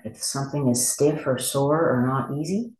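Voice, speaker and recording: A meditation teacher with a medium-pitched voice guides a body scan. The delivery is gentle and unhurried, with long pauses between short instructions. The recording carries noticeable room echo. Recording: echoey room.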